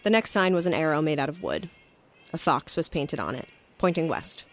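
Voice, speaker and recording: A woman's voice: sits low, animated, moderate pace. An actress playing a guarded, anxious woman field agent who narrates her story in an audio drama. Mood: anxious, reflective narration. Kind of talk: audio drama acting with first-person narration. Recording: music under the voice.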